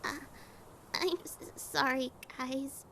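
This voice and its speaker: An anxious, nerdy lizard monster girl for an audio drama. Her voice is high and creaky, and she talks very slowly. She stammers and trips over words in a timid, apologetic way. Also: fairly even intonation, bright, forceful tone, a little hiss or hum, full character voice. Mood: nervous, guilty. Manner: heavy stammer, halting, nervous giggles.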